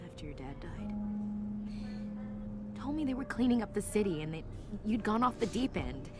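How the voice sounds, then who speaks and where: An actress playing an earnest, regretful paramedic and loyal friend in a video game. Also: female voice, medium pitch, big pitch swings, moderate pace, over loud music, lightly acted. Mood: regretful, worried. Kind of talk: dramatic video game voice acting.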